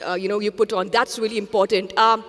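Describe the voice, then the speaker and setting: A woman's voice: mid-range voice, level tone, fast, fairly bright tone. Woman journalist talking it through on stage, earnest, long hall echo. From India. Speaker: journalist, interview guest. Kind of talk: onstage interview answers.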